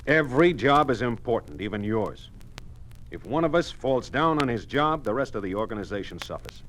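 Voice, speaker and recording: A middle-aged American company boss in a 1950s radio play. He is exasperated and bitter, his pitch swinging widely over a faintly noisy old recording. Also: sing-song, normal speed, a bit bright. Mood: stern. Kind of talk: 1950s radio drama acting.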